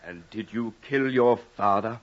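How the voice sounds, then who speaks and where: An actor playing a brisk, officious police officer in a 1950s radio drama, questioning in a formal, clipped way. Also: male voice, on the low side, natural voice. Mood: stern, commanding. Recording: muffled old radio recording, faint noise, clicks.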